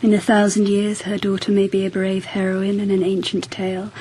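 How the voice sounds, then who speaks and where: An actress playing a regal, reflective empress in a radio drama, stately and measured in manner. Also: female voice, medium pitch, monotone, dark tone, a little hiss or hum, natural voice, rough recording. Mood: wistful, musing. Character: regal, reflective empress of 10th century Japan.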